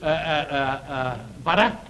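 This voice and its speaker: A man on a game show panel, bantering casually and offering halting guesses. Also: fairly high pitch, a bit bright, echoey room. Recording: noisy old TV studio soundtrack.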